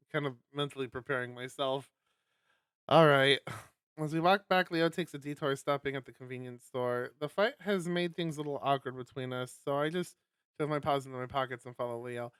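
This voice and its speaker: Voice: medium pitch, normal speed, fairly bright tone, clean tone, dry recording, studio quality. A streamer reading a visual novel aloud on a live stream, narrating and breaking off for exasperated, sweary commentary.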